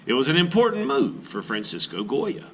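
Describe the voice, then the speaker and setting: Lively, bright-voiced male art teacher reading aloud. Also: medium pitch, normal speed, a little hiss or hum. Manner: expressive storybook reading with teacherly asides. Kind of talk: reading a picture book aloud to children.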